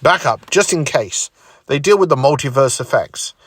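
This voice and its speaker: A man voicing a stern older male time traveller who explains things in a steady way. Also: medium pitch, moderate pace, no room sound, studio quality.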